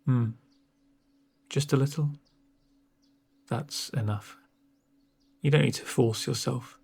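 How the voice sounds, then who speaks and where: A man speaking softly in an ASMR roleplay as a doctor, with a gentle, reassuring, unhurried manner and a breathy voice. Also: medium pitch. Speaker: ASMR performer playing a doctor. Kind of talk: soft-spoken ASMR roleplay.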